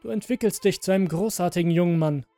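A man's voice: high-pitched, quick pace, mellow, no room sound, faint music behind. A man voicing a breezy, dismissive middle-aged father who talks in a casual, chummy, persuasive way. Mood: warm, apologetic.

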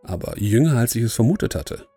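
A man's voice: medium pitch, animated, brisk, mellow, faint music behind. A man reading a scripted first-person horror story as its narrator.

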